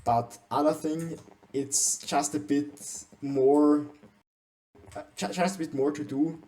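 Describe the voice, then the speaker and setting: A young Austrian man with an Austrian German accent gives a game base tour in English, his second language. His voice is dark and mellow, and he stumbles often, repeating and restarting words as he searches for them. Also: on the high side, fairly even intonation, slow, poor audio. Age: young adult. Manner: hesitant, frequent restarts and stumbles. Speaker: gaming channel host.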